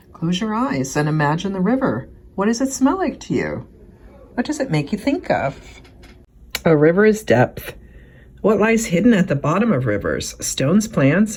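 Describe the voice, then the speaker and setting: A woman reading a picture book aloud as a children's librarian, phrasing it expressively and deliberately for young listeners. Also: fairly low pitch, a little mellow, husky.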